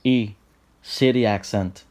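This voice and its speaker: A young man from Puerto Rico with a Puerto Rican accent, narrating a scripted explainer in a steady, list-like way. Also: fairly low pitch, takes it slowly. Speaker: channel host.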